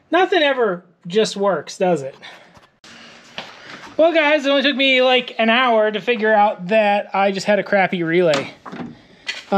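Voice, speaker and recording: A man's voice: high-pitched, fairly bright tone, slight background noise. A man in his thirties working on a truck in a garage workshop, wry and self-mocking, his voice worn from days of talking. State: losing his voice. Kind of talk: casual workshop vlog. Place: cluttered wooden garage workshop.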